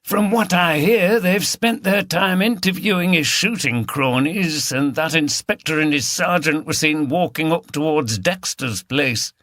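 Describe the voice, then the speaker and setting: Excitable village shopkeeper and pub gossip, acted by a male reader, blunt, incredulous, pitched well up, audiobook. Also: measured pace, few pauses, no echo, studio quality. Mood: gossipy. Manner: excitable, blunt, mildly sweary.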